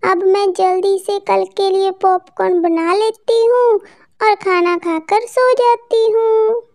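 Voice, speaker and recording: A woman's voice: extremely high pitch, fairly even intonation, slow pace, darkish tone, full character voice. A woman voicing a sweet, kindly auntie bird who sells popcorn in a children's cartoon, speaking affectionately and earnestly. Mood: content. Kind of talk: children's cartoon story acting.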